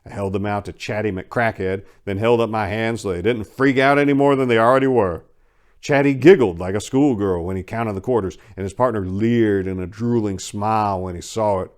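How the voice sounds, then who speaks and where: A man giving a dramatic first-person reading of a short story in a wry, sardonic and expressive manner. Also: fairly low pitch, fairly quick. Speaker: story narrator.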